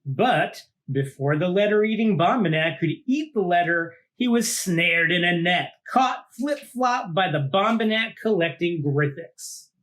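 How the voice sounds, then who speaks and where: A man reading a children's picture book aloud like a teacher, with deliberate dramatic phrasing and drawn-out words. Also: high voice, expressive, a bit bright. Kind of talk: animated storybook read-aloud for children.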